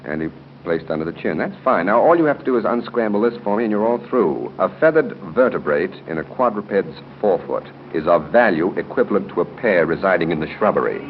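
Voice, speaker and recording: A deep-voiced American man hosts a 1930s radio quiz with a lively, swinging delivery. He banters genially and jokes as he goes. The sound is a muffled, noisy old broadcast. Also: brisk. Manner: genial and joking, chuckles between questions. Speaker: quiz show host. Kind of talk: 1930s live radio audience quiz hosting, ad-libbed banter. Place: hotel room at a club luncheon.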